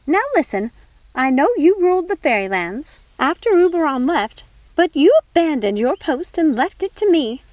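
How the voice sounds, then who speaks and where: A woman's voice: high voice, sing-song, moderate pace, darkish tone, dry recording, pitched above the performer's own voice. A woman voicing a prim, bossy old fairy godmother in a storybook, indignant, theatrical and officious.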